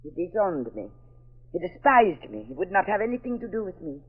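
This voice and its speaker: Proud radio villainess, muffled recording. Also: female voice, lowish voice, very animated, brisk, breathy edge. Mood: bitter, confessing. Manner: formal, uncontracted phrasing. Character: cornered, treacherous French murderess, fierce and proud. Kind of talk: 1940s radio mystery acting. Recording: dull, lo-fi old radio recording.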